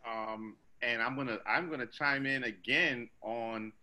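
Forceful man on video call. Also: medium pitch, measured pace, slight background noise. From Trinidad. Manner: emphatic, repeats words when pressing a point. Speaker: optometrist panelist. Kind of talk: panel discussion over video call. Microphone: video call webcam.

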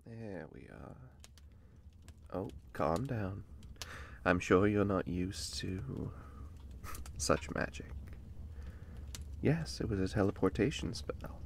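Man voicing a slow, haughty, low-voiced male vampire. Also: animated, heavily acted. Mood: coaxing, sly. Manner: breathy near-whispers, drawn-out phrases, haughty and teasing. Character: theatrical, aloof, courtly male vampire catboy. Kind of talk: ASMR roleplay, slow scripted monologue. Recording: clearly noisy, constant background ambience.

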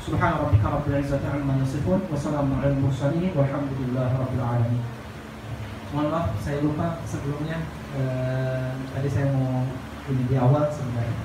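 A man's voice: mid-range voice, fairly even intonation, brisk. A young Indonesian man giving an earnest religious talk to a seated gathering, repeating phrases for emphasis. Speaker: Islamic boarding school teacher. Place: crowded indoor room, seated gathering. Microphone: handheld microphone through speakers, filmed from across room. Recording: echoey noisy room, far from mic.

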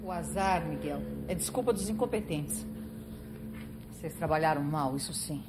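A woman's voice: deep voice, moderate pace, heavy background noise, natural voice. A woman acting an imperious, contemptuous gang boss in a Brazilian telenovela, cutting and commanding in her delivery. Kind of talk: 1970s telenovela villain acting. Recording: old TV soundtrack under loud music.

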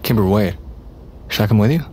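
An actor playing a jaded, sardonic but protective young man with a creaky voice, in a naturalistic audio drama. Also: fairly low pitch, expressive, brisk, warm tone, close to an everyday voice. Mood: uneasy. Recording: faint ambient noise.

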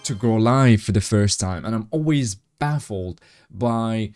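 A man hosting a podcast interview, enthusiastic and quick to laugh, from Morocco. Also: on the low side, darkish tone, clear, resonant tone, soft background music. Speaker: podcast host. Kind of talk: casual interview chat.

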